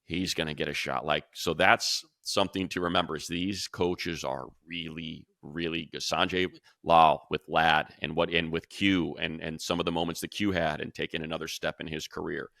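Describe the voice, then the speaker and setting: A man's voice: rumbling low, flat delivery, moderate pace, creaky, few pauses, bright, forceful tone, no room sound, clean studio sound. A man hosting a casual sports podcast, explaining his analysis emphatically and repeating words to stress his points.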